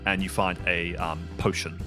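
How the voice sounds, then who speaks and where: A man working as game master, casually improvising narration for a tabletop game in a halting, thinking-aloud way. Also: low voice, little pitch movement. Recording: music behind the voice.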